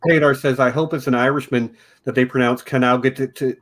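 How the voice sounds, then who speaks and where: A chatty man from Texas with a Texan accent, hosting a relaxed show discussion. His voice sits at a middle pitch, and he talks loosely, restarting sentences as he goes. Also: brisk. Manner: chatty, self-correcting. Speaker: podcast host. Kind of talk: casual discussion.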